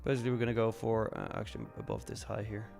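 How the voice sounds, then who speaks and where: A man's voice: lowish voice, sing-song, very fast, gravelly, darkish tone, faint music behind. A man from Switzerland hosting a casual, frank livestream, answering viewers and commenting on trades in an informal way with repeated phrases. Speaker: live trading stream host.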